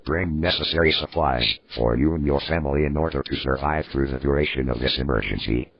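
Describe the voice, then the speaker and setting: A man's voice: a bass voice, brisk, dry recording. A man reading a scripted emergency broadcast as an announcer, formal and impersonal with a synthetic delivery. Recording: dull, slightly lo-fi dry audio.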